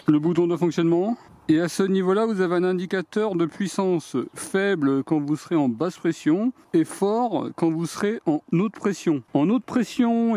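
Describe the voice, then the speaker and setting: A man's voice: sits high, a little mellow. A man giving a hands-on, step-by-step demonstration of a tool outdoors on a garden deck, talking straight to viewers. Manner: plain step-by-step explaining, addresses viewers directly. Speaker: DIY video host.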